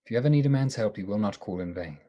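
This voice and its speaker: A woman playing an earnest, stern and excitable male asylum doctor in a radio play. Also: extremely low pitch, racing, lo-fi sound.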